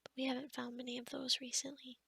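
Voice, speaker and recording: Breathy, high-voiced young witch played by a woman. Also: monotone, full character voice. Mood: warm, content. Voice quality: breathy, whispery. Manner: gentle, hesitant, with small stammers and pauses. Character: kind, sheltered young forest witch, gentle and socially awkward. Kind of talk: soft-spoken ASMR roleplay, half whispered.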